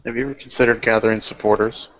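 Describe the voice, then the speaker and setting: Man, mid pitch, calm and measured roleplay player, dull lo-fi sound. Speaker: player voicing his character in a tabletop game. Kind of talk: in-character roleplay talk.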